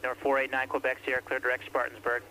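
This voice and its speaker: A man's voice: medium pitch, completely flat delivery, racing. A man working as an air traffic controller, calling aircraft in terse, clipped radio phrasing. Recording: archival radio recording with faint hiss.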